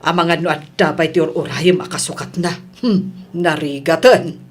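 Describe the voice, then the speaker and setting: Woman playing older mother, lowish voice, touchy, grumbling, comedy radio drama. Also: fairly even intonation, normal speed. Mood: suspicious, curt.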